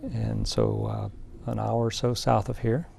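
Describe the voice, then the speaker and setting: An older man from Indiana, an artist guest in a small TV studio, talking through a lapel mic in a relaxed, thoughtful way with many fillers. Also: fairly low pitch, measured pace, gravelly, husky. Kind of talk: casual interview talk.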